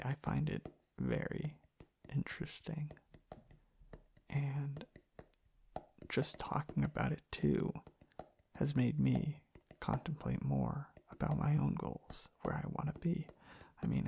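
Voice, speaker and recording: A man speaking in a soft, hushed, half-whispered ASMR ramble, breathy and gravelly, in unhurried halting phrases. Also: on the high side, wildly swooping pitch, slight background noise. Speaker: ASMR content creator. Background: tapping and clicking sounds.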